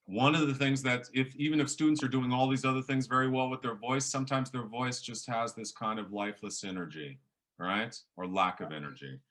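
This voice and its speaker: A middle-aged male professor with a big, booming voice and a forceful, bright edge. He teaches in an animated way, dropping to near whispers and pushing back up. His voice sits on the lower side. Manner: animated, varies volume and pace for emphasis. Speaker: professor leading a public speaking workshop. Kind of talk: lively workshop lecture.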